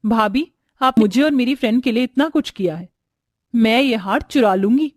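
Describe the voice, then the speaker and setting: A woman's voice: fairly high pitch, moderate pace, dry recording, clean studio sound, lightly acted. A woman voicing an envious, impulsive young sister-in-law in a cartoon family story. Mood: scheming.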